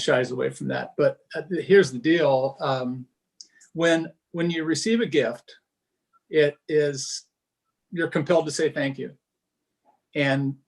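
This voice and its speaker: A man giving an award speech over a video call, with many pauses and fillers. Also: medium pitch. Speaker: presenter of the award.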